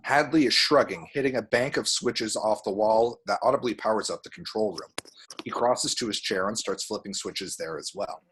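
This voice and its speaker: A man's voice: on the low side, normal speed, a bit bright, a little hiss or hum, rough recording. A man reading screenplay action and scene headings as narration for an amateur table read. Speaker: reader of screenplay action description.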